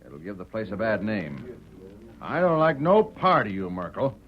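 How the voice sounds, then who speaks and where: An American actor playing a domineering, crooked boss in a radio Western, speaking in a blunt, contemptuous rustic manner. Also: male voice, fairly low pitch, wildly swooping pitch, normal speed. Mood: scornful, threatening. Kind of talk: 1940s radio Western acting. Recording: dull old radio recording.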